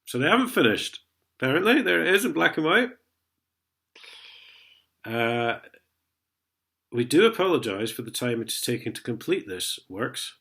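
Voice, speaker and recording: A man's voice: mid-range voice, very animated, moderate pace, gravelly, pauses often, a bit bright. A man with a sniffly head cold, chatting in a sarcastic vlog and chuckling as he reads letters aloud. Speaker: vlogger. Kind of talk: casual ranting vlog.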